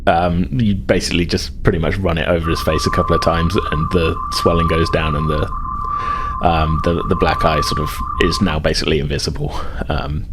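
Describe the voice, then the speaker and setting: A man acting as game master, narrating a tabletop game casually in a halting, explanatory way. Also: extremely low pitch, little pitch movement, heavy background noise.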